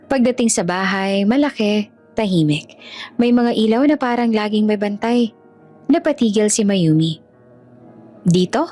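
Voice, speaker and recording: Filipino lady telling a story, dramatic scripted read, mid pitch, music behind her. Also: a little mellow.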